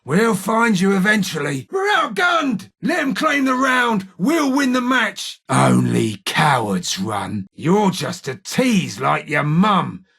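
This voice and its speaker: An actor playing a cocky, menacing street-gang gunman in a video game, with a raspy voice, a working-class English accent and a taunting, slangy manner. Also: a little high, very animated, slow pace, hardly any pauses, a bit bright, full character voice. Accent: British, working-class English. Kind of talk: video game character voice lines, combat barks.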